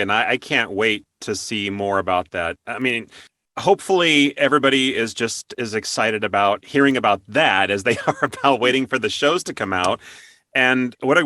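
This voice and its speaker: A man with a bright, forward voice hosts a casual interview. His pitch moves around a lot, giving an animated delivery, and the recording is dry and close. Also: no room sound. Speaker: interview show host.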